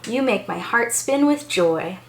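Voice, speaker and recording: An American woman reads to young children in a high, very animated voice. She takes her time and sounds bubbly and enthusiastic, like a teacher hosting storytime. The room adds a clear echo. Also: measured pace, noisy background. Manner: bubbly, enthusiastic, exaggerated child-directed delivery.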